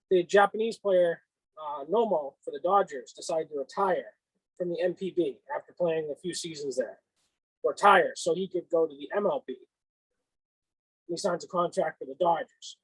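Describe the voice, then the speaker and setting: High-pitched man with a Boston accent. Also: husky, no room sound, rough recording. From Boston, northeastern US. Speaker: co-host of a cap and card show. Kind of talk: casual sports chat.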